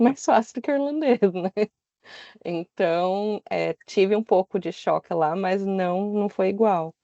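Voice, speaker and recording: A woman's voice: mid-range voice, vocal fry, warm tone, dry recording, lo-fi sound. A woman in her thirties with a Brazilian Portuguese accent, talking casually about her own experiences as a podcast guest. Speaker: podcast guest living abroad. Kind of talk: casual conversational storytelling.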